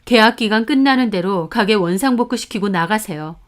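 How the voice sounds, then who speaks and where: A woman's voice: medium pitch, animated, fairly quick, natural voice. Tough protective unnie type, woman narrator voicing her, firm and cutting. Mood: cold, threatening. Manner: firm, sharp and confrontational. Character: fierce protective older sister figure, landlady.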